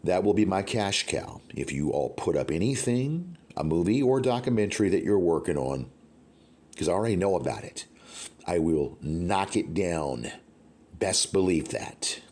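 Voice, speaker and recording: A man's voice: low-pitched, sing-song, normal speed, darkish tone. A man speaking emphatically and repetitively, giving a threatening warning to his audience.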